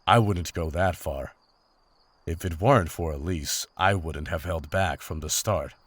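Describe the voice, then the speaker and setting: Deep-voiced, stern monster hunter, acted by a man. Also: breathy edge, no echo. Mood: grave. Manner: formal, measured, serious wording. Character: stern, seasoned male monster hunter, honorable, restrained. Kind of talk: fantasy audio drama acting.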